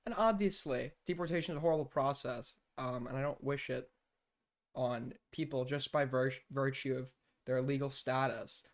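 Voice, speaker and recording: A young man talking off the cuff on a live stream, blunt and rambling. His voice sits at an ordinary middle pitch, and he drops into frequent fillers and casual swearing as he thinks aloud. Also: moderate pace. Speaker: streamer reacting to news clips.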